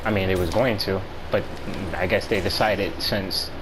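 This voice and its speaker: A man's voice: low-pitched, fairly even intonation, moderate pace. An American man telling stories in casual, profane slang with an offhand delivery. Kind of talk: casual storytelling chat during gaming. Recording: noisy game audio with gunfire. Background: video game gunfire and vehicle sounds.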